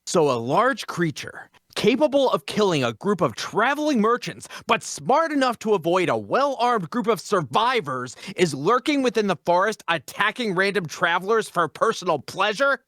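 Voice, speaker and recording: A skeptical lawman adventurer played by a man, fairly high in pitch with very lively intonation. He is sardonic and deliberate, as in a fantasy drama table read. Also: no echo, studio quality. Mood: mocking. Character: skeptical, mocking lawman adventurer making an arrest.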